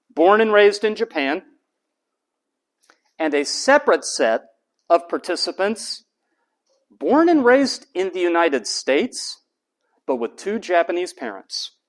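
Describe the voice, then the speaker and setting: A man giving a university lecture to a class, asking rhetorical questions and answering them himself. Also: high voice.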